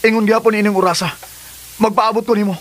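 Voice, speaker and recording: A man's voice: high voice, level tone, fast, close to an everyday voice. A man with a Cebuano accent playing an ardent, defensive lover and expectant father in a radio drama. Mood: polite, businesslike. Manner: emphatic, earnest. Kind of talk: Filipino radio drama acting. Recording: music under the dialogue.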